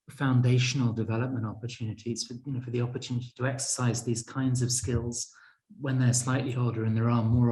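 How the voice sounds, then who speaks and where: A man's voice: mid-range voice, dark tone, poor audio. A man moderating a panel over a video call, polite and hesitant, with many restarts.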